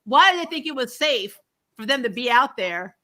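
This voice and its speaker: A woman hosting a casual movie review chat, telling it in an animated, sarcastic way with plenty of swearing. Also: sits high, normal speed. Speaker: podcast host.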